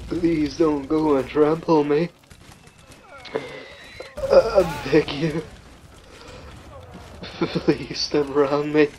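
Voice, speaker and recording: Wounded young soldier, acted by a male narrator: extremely slow, weak, halting pleas, noisy background. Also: on the high side, fairly even intonation. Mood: weak, pleading. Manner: halting, drawn-out pleading. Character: wounded young soldier weakly pleading for help. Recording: clearly noisy ambience behind voice.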